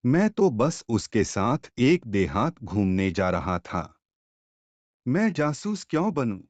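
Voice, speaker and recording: A man's voice: mid-range voice, sing-song, slow pace, a little mellow, no room sound. A man voicing a sly, self-satisfied fox in a cartoon fable, explaining things in a self-righteous way. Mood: pleading, self-excusing.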